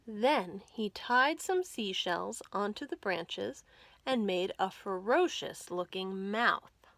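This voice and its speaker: Slow, bright-voiced woman reading to children. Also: mid-range voice, creaky, no room sound. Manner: expressive, deliberate storytelling with dramatic emphasis. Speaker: children's librarian reading storytime. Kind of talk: animated picture-book read-aloud for young children.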